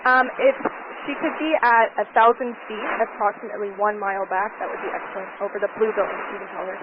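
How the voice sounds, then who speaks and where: A woman's voice: medium pitch, fairly even intonation, quick pace. A woman talking in short exchanges over aviation radio. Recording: noisy, tinny, narrow-band aviation radio.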